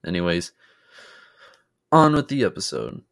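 A man's voice: deep voice, wildly swooping pitch, a little slow, vocal fry, fairly bright tone. A man who is a little under the weather and snuffly, hosting a casual, joking movie-review podcast and swearing freely. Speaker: podcast host reviewing films.

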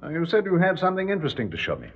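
Quick, lilting, genial male curator. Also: a little high, wildly swooping pitch. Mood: cordial. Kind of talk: classic radio drama acting. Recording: noisy, dull lo-fi radio drama recording, distant.

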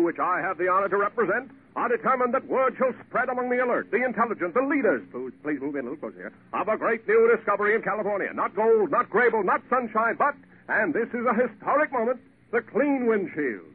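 A tinny vintage radio advertisement with faint noise. a pompous, grandiose American orator, pitching rapidly in a high voice. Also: male voice, animated. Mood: grand. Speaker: commercial pitchman.

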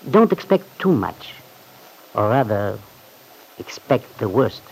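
An actor playing a cautious, worried manservant, formal and discreet, with a creaky voice, in an old-time radio serial. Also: male voice, medium pitch, sing-song, takes it slowly, darkish tone, heavily acted. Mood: worried caution. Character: deferential, cautious, worried manservant advising his employer. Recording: faintly noisy old radio recording.

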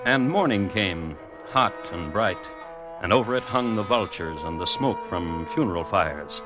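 A man's voice: low-pitched, expressive, measured pace, highs cut off. A man narrating an old-time radio drama in a wry, conversational storytelling manner. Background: music.

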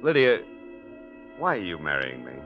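An eager, moneyed American suitor in a vintage radio romance scene. He is breezy and wry, with a fairly low, animated voice. Music plays behind the dull, aged recording. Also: moderate pace. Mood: wry, affectionate. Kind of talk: 1940s radio drama acting. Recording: muffled old radio recording, prominent music.

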